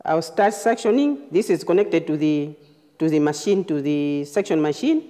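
A woman nurse giving a hands-on clinical demonstration, explaining phrase by phrase in a halting way. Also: deep voice, lively intonation, warm tone. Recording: reverberant room, long echo.

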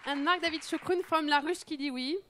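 Bubbly high-voiced woman moderating a panel. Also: fairly quick, some chatter behind, slight background noise. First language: French. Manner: lively, laughs often between turns. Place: large event tent with audience. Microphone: handheld mic.

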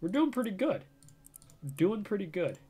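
Young man streaming, lively chatty pitch. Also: normal speed, husky. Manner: chatty, talks to himself while playing, excited bursts. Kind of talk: casual let's-play commentary.

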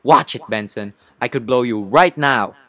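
A man acting a vain, volatile tough-guy film star with a threatening, forceful delivery. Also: on the high side, a little fast, no echo, voice pushed up, close to an everyday voice. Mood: menacing, furious. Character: volatile, vain tough-guy male actor, ready to blow.

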